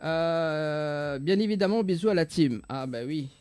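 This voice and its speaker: A man's voice: on the high side, unhurried, darkish tone, smooth, no room sound. A man hosting a live show, bantering informally and jokily with hesitant phrasing as he interviews. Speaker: radio and TV show host.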